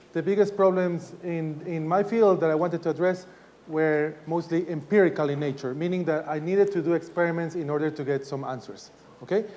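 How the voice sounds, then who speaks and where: A man in his forties from Ecuador giving a university lecture, speaking softly because of a sore throat, halting with many restarts and fillers. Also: on the high side.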